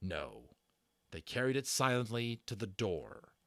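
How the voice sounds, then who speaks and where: A man reading a story aloud as a dramatic, expressive narrator, building suspense. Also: lowish voice, unhurried, creaky, no echo. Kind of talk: dramatic read-aloud with casual asides.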